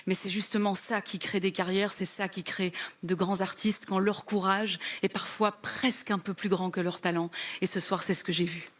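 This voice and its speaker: A woman's voice: mid-range voice, little pitch movement, normal speed, slight background noise. A woman coaching on a TV talent show, earnestly praising and asking friendly questions.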